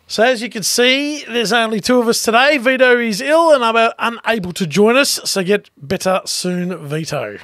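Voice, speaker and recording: An Australian man hosting a sports podcast, talking in a lively, chatty way with quick interjections. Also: high voice, slight background noise. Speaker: podcast host. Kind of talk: casual sports chat.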